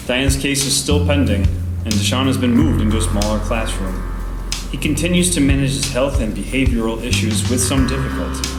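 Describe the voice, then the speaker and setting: Man as radio play narrator over loud guitar. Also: medium pitch, close to an everyday voice. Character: earnest male storyteller introducing scenes. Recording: roomy, loud guitar music underneath.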